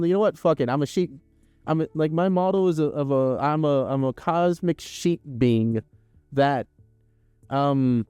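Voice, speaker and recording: A man's voice: a little high, a little mellow, clean tone, no room sound. Rambling, swearing young male livestreamer. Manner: rambling, swearing, laughing, reading chat aloud. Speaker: arts and crafts livestreamer. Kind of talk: casual live stream chat while crafting.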